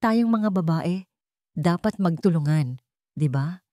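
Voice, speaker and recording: A woman voicing a poised, vengeful wronged wife in an audio drama story, calm with a sharp edge underneath. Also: fairly low pitch, slow pace, dry recording, studio quality. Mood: falsely sweet.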